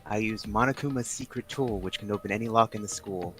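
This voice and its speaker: A man voicing a cool, secretive teenage girl detective in a measured, matter-of-fact way. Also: on the low side, a bit bright, natural voice. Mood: composed, guarded. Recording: music behind the voice.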